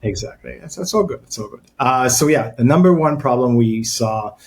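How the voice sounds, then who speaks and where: A man speaks as a podcast guest in a casual chat. He talks softly and hesitantly, filling gaps with ums and letting his sentences trail off quietly. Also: medium pitch, lively intonation, moderate pace, creaky. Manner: soft-spoken and hesitant, many fillers, trailing off quietly. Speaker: guest, company co-founder.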